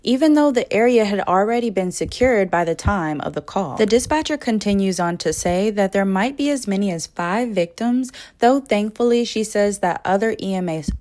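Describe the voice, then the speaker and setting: A woman's voice: mid-range voice, animated, normal speed, few pauses, darkish tone. A woman hosting an online channel, recapping and commenting on a news story for her viewers.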